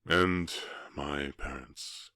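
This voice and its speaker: A man playing a brooding, kind half-orc cowboy with a Southern US accent, speaking in halting, sighing, earnest phrases in an ASMR western romance roleplay. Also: rumbling low, steady tone, sharp tone, dry recording, full character voice. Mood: brooding, ashamed.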